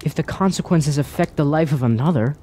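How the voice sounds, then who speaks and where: A man's voice: a little high, fairly quick, dark tone, slight background noise, close to an everyday voice. A man playing an earnest young lad seeking truth in a fantasy radio drama, questioning in sincere, formal phrases.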